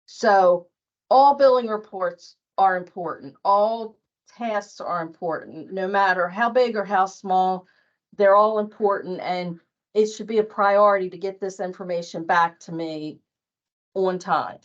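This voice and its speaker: Female workplace trainer, mid-pitched and slow-paced, pressing each point firmly in an informal talk. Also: muffled recording. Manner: emphatic, repeats points for stress. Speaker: billing staff trainer.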